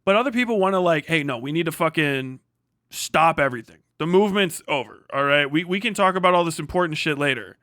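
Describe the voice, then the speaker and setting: A man's voice: on the high side, lively intonation, quick pace, sharp tone, no echo, clean studio sound. A man hosting a livestream in casual chat, rambling along and swearing freely. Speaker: livestream host.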